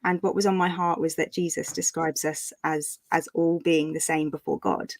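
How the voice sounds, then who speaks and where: A woman's voice: sits low, level tone, normal speed. A woman chatting casually about her writing as an author at a live online event, in a hesitant, giggly way. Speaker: author hosting online book launch. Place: live online video call.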